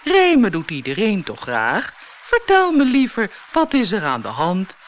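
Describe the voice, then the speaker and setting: A woman's voice: medium pitch, huge pitch swings, unhurried. A woman voicing a kindly, playful old male sea turtle who speaks in singsong rhyme for a children's storybook. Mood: jovial, consoling. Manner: singsong rhyming delivery.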